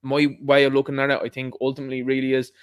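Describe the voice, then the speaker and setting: This Irish man talks straight to his listeners in a loose, running monologue, now and then slipping into little voices to act out what others say. His pitch sits in a middling range for a man, and he sounds like a host chatting on his own show. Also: racing, clean tone. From Ireland. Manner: conversational and animated, mimicking others in asides. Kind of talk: casual monologue commentary.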